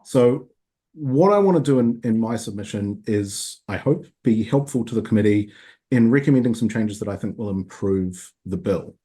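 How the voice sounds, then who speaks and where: A man who is a senior law lecturer, giving a measured, formal submission over a video call with frequent ums. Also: on the low side, animated, warm tone.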